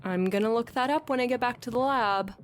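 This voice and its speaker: A woman's voice: a little high, brisk, natural voice. An actress plays a sceptical woman who keeps her guard up with an old lover. She is dry and blunt, sparring in a natural stage-drama delivery. A faint noise sits behind her voice. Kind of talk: conversational stage-play acting.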